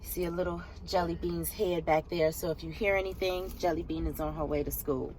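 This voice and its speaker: Middle-aged woman vlogger, nasal and hoarse with a cold, slightly low, upbeat chat to camera in a car. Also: flat delivery, brisk, darkish tone, slight background noise, low-quality recording. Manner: chatty, upbeat, speaks to viewers as "tribe". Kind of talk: casual vlog chat to camera.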